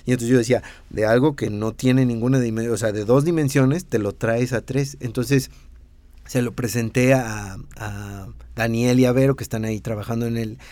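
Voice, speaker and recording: A man's voice: mid-range voice, normal speed, dry recording. Mexican man in his thirties, designer-teacher, loose rambling talk, mid-range voice, close dry sound. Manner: relaxed, rambling with many fillers and restarts. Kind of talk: casual interview.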